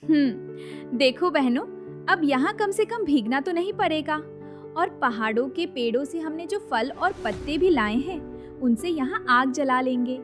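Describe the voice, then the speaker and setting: A woman's voice: high voice, expressive, a little slow, over music. A woman voicing a resourceful young village daughter-in-law in a cartoon story, encouraging and practical. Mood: hopeful.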